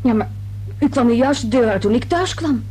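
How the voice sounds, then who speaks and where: High, breathy, shaken woman. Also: animated, a little mellow, low-quality recording. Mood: shaken, insistent. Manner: halting, repeating words. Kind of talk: Dutch radio play acting.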